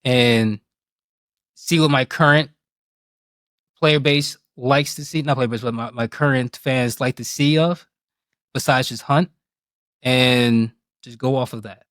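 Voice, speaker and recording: A man in a casual interview, talking candidly and reflectively in colloquial language. His voice sits at an ordinary male pitch. The sound is dry, with no room around it. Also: steady tone, unhurried, long pauses, a bit bright, no room sound. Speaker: guest, game streamer.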